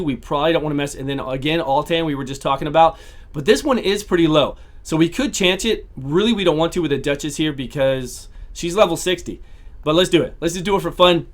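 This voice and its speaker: Slightly high-voiced man commentating gameplay. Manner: talks through battles, repeats phrases, clears throat. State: voice going hoarse. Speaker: gaming channel host.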